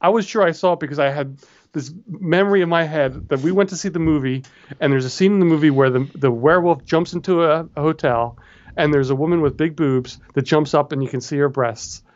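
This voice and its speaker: A man's voice: on the high side, a little fast, a little mellow, highs cut off. A man in his forties co-hosting a podcast, chatting casually and rambling through memories. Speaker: podcast co-host. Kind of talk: casual movie chat.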